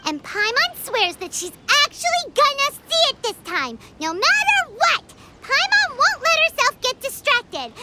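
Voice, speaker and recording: Game sidekick: little excitable fairy girl, piercingly high and squeaky, hugely swooping intonation. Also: very noisy, full character voice. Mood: excited. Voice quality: squeaky, childlike voice. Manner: refers to self in third person, exclamatory. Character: Paimon, small excitable childlike fairy girl companion. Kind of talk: animated video game voice acting. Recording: loud music behind the voice. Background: game music.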